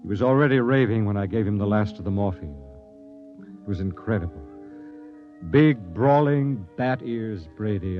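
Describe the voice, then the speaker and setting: An actor playing a driven expedition captain who narrates a 1950s radio drama in an earnest, urgent voice. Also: male voice, lowish voice. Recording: dull vintage radio with music.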